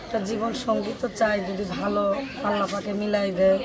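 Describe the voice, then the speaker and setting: A woman's voice: medium pitch, flat delivery, a little fast. An eighteen-year-old woman with a rural central Bangladeshi accent, giving brief, hesitant answers as an interview guest. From Tangail, Bangladesh. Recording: very noisy, loud crowd ambience.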